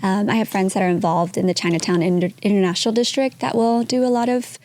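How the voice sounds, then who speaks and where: A woman guest in a podcast interview whose first language is Vietnamese. She talks in a soft-spoken, earnest way with some fillers. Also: mid-range voice, steady tone, quick pace. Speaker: guest, real estate entrepreneur. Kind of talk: casual interview conversation.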